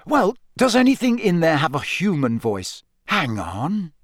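A man's voice: a little high, wildly swooping pitch, a little slow, dry recording, studio quality, pitched above the performer's own voice, heavily acted. A man voicing an earnest, puzzled schoolboy in an audiobook, questioning and slightly hesitant, sometimes impatient. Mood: curious, puzzled. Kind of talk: audiobook character voice.

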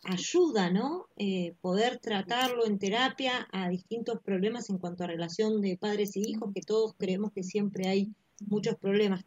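A woman's voice: medium pitch, a little mellow. Woman host, dull lo-fi sound. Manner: hesitant, rephrasing questions. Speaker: radio host.

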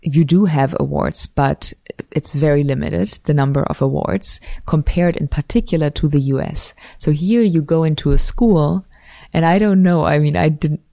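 A woman's voice: low-pitched, normal speed, vocal fry, dark tone, no room sound. A woman, a guest researcher explaining ideas thoughtfully in an interview and often correcting herself mid-sentence. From Switzerland. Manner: thoughtful, academic, frequent self-corrections. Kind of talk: conversational interview.

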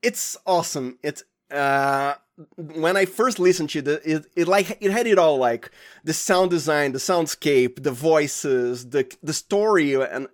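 Higher-voiced Brazilian man chatting. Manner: enthusiastic, halting, searching for words. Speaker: interview guest, audio drama sound designer. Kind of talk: casual interview chat.

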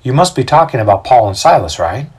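A man voicing a caring, concerned woman in a story, speaking gently. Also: medium pitch, very animated, fairly quick, husky. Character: caring, concerned woman among travelling companions.

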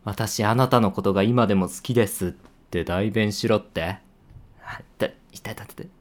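A young man acting as a playful senior coworker who teases in a casual, offhand way with hushed asides. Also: fairly low pitch, fairly even intonation, unhurried, close to an everyday voice. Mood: teasing. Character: teasing male senior coworker, playful, secretly fond. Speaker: voice actor. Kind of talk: situation voice drama.

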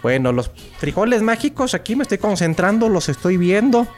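A man's voice: sits high, very animated, fairly quick. A cranky old fortune-teller woman, played with a Mexican accent by a man putting on a raised voice. She scolds, insults and reads beans in a mock-mystical drawl, with faint music behind. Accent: Mexican Spanish. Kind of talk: improvised comedy sketch.

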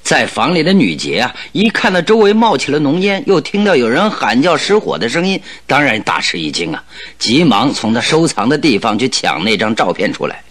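A clever detective in a radio drama. His middle-pitched voice moves up and down with great animation as he lays out schemes, confident and persuasive. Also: huge pitch swings, a little fast, fairly bright tone. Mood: confident scheming. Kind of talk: Chinese radio drama acting.

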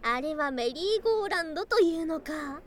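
A woman voicing a doting, excitable young lamia bride in an ASMR voice drama, speaking in archaic, old-fashioned phrases with girlish excitement. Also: sits high, moderate pace. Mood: playful, curious. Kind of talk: ASMR situation voice drama, addressed to the listener.

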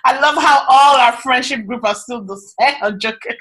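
A woman's voice: sits high, very noisy. Chatty woman in casual friends' chat. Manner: chatty, animated, swears and laughs often.

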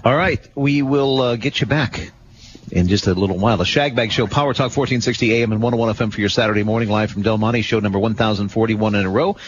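A man hosting a weekend radio talk show, giving brisk, upbeat station announcements and interviewing a guest. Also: fairly low pitch. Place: radio studio. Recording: muffled radio broadcast with faint hiss.

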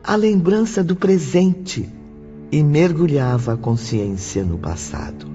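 A man narrating a scripted radio drama in a solemn, measured, dramatic manner. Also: on the high side, animated. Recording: muffled audio with music behind.

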